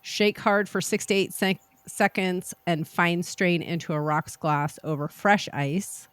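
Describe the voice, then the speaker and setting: A woman co-hosting a podcast, chatting and reading out a cocktail recipe in a measured, unhurried way. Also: lowish voice, fairly even intonation, a bit bright, clean tone, no echo. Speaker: podcast co-host.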